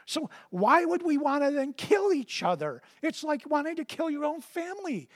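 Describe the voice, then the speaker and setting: A man with a high voice gives a sermon. He reads scripture slowly and deliberately and then talks it through casually, often repeating a word or two as he gets going. The recording is dry and close. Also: very animated, no room sound. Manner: conversational, repeats words when starting sentences. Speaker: preacher.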